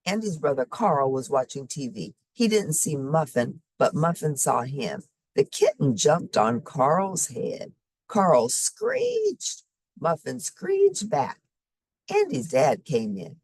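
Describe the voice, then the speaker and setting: Woman reading a kids story, deep for a lady, super expressive, dry close sound. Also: slow pace, slightly rough, dry recording. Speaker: storybook reader. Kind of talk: expressive children's story narration.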